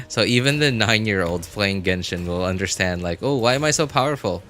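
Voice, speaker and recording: Bright, low-voiced man streaming games. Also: lively intonation, normal speed. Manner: rambling, thinks aloud. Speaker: game streamer. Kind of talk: casual livestream gaming commentary. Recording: music behind the voice.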